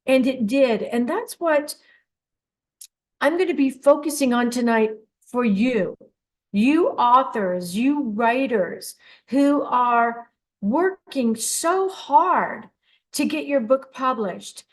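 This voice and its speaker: A woman's voice: fairly high pitch, expressive, slow, low-quality recording. An older woman hosting a radio show alone, talking in a chatty, encouraging way with frequent restarts. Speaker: host of a dream radio show. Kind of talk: casual solo radio talk.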